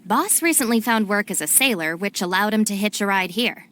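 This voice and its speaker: A woman's voice: medium pitch, expressive, a little fast, a bit bright, slight background noise, close to an everyday voice. A woman playing a practical young gang deputy in a video game, matter-of-fact and sensible. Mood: patient, chiding.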